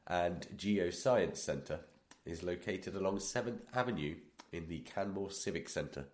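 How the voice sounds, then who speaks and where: A man with a cold co-hosting a podcast, joking, teasing and reading aloud haltingly. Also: low voice, a little mellow, echoey room. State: has a cold. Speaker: podcast co-host. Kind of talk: casual banter.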